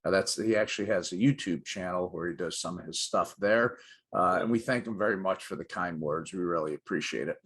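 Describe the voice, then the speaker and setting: A man's voice: low-pitched, brisk, fairly bright tone, slightly rough. A middle-aged man co-hosting a sports show with light-hearted banter and dry, self-deprecating jokes.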